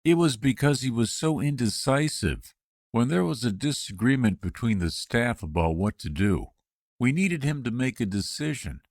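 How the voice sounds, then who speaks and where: A man reading the candidate's part in a scripted practice job interview, with clear, measured delivery. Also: mid-range voice, no echo, clean studio sound.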